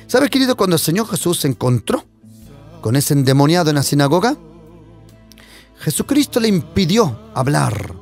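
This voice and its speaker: Man, pastor preaching, animated rising and falling pitch, impassioned. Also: creaky. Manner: impassioned, swings between loud declarations and hushed asides. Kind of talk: preaching sermon.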